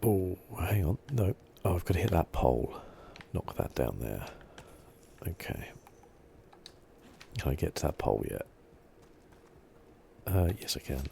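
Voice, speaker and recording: Very deep, creaky-voiced man murmuring softly. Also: big pitch swings, a little slow, pauses often, a little hiss or hum. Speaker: gaming streamer. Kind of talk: quiet ASMR game commentary.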